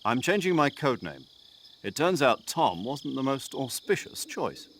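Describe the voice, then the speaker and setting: A chatty, earnest military commander briefs his agent over the radio in a game cutscene. His voice swings widely in pitch as he explains things at length. Faint night-time ambience sits behind him. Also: sing-song, measured pace, a little hiss or hum. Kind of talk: video game cutscene acting.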